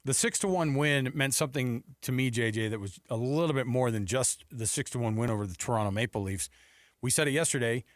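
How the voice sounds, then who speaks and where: A man hosts sports talk radio in a mid-pitched voice. His delivery is informal, slangy and animated. The sound is dry and close, with very little room in it. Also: fairly quick, no room sound.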